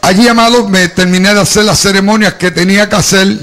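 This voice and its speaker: A man's voice: high voice, steady tone, fast talker, fairly bright tone. A middle-aged man from Puerto Rico with a Puerto Rican Spanish accent with some Cuban, telling his testimony to a church congregation into a handheld microphone, often calling listeners "beloved.". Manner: storytelling, addresses the congregation as "amado". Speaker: preacher giving a testimony. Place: church hall before a congregation.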